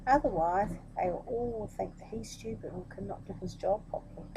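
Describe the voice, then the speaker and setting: A woman's voice: medium pitch, normal speed, noisy background. A woman reading a children's story expressively, with explanatory asides spoken directly to young listeners.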